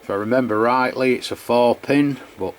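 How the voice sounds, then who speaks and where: A man's voice: mid-range voice, normal speed. A man giving a casual, unscripted hands-on review of equipment in a matter-of-fact way. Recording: clear background noise.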